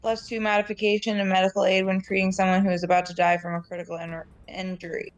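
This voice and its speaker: A woman's voice: mid-range voice, level tone, quick pace, dry recording, rough recording. A woman player in a tabletop game talks casually in a mid-range voice. She asks plain questions about the rules and swears offhandedly, with faint music in the background.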